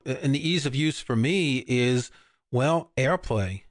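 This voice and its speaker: A man's voice: mid-range voice, unhurried, no room sound. Dry, close-mic'd older man. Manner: relaxed, rambling, many restarts. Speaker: podcast co-host. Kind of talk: casual chat.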